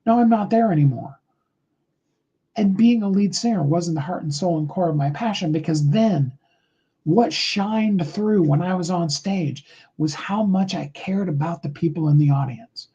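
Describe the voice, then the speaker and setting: A middle-aged man with a high tenor voice, giving a rambling, earnest motivational talk on a live call, full of fillers. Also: normal speed, warm tone, husky, highs cut off.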